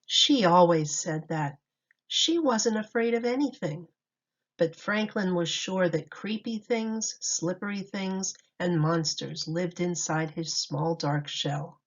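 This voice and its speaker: A woman's voice: on the low side, takes it slowly. A woman teacher reading a picture book aloud to young children in storytime style. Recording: dull lo-fi recording.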